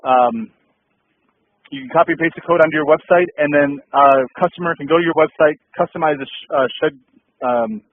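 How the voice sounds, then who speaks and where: A man's voice: fairly high pitch, a little fast, no echo. A man hosting a business update call, talking casually with many ums and uhs and repeating his points. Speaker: host of a dealer update call. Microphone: phone line. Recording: tinny dry phone line.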